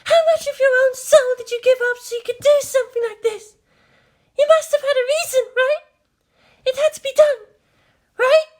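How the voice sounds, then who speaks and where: Very high-pitched, anguished young woman. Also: steady tone, moderate pace, pauses often. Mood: anguished, pleading. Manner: emotional, pleading. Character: anguished young woman, tearful, fierce and pleading.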